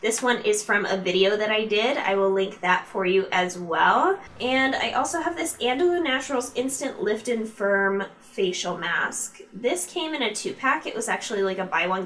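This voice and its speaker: A woman's voice: mid-range voice, animated, moderate pace, a bit bright, distant mic, a little hiss or hum. A woman chatting informally to her viewers as a beauty vlogger, reviewing products in a casual, direct way. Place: room at home used for filming.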